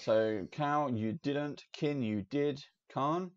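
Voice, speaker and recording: A man narrating as game master in a relaxed, meandering way, in dull lo-fi audio with faint hiss. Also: mid-range voice, takes it slowly.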